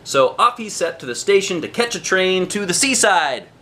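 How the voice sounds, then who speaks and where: A man reading a children's storybook aloud in an expressive way, with drawn-out emphasis on key phrases. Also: sits high, slight background noise. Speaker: storybook reader, a dad.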